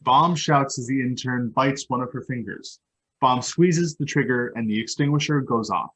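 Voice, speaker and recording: A man's voice: mid-range voice, moderate pace, a bit bright, poor audio. A man reading out stage directions as scripted narration at a play table read.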